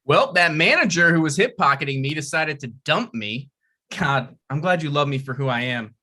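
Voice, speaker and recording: A man reading a bright-voiced, struggling writer. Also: fairly high pitch, lively intonation, normal speed. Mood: pleading. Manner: pleading and awkward. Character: struggling male TV writer, thirty-six. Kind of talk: table read.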